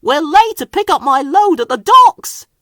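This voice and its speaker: Guy doing a worried eager cartoony train engine for a kids story, high voice, urgent, jumps all over. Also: very animated, moderate pace, pushed and bright, dry recording, clean studio sound, exaggerated voice. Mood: panicked. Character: eager, anxious young male steam engine, fretful but well-meaning.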